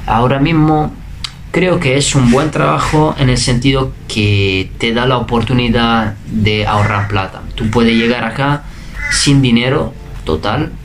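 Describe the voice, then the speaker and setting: A young man in his twenties from Italy with an Italian accent, speaking Spanish as a second language in a relaxed interview, sometimes pausing to search for words, recorded in a bedroom. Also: medium pitch, slight background noise. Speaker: interviewee, waiter. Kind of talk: casual interview answers. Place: bedroom indoors.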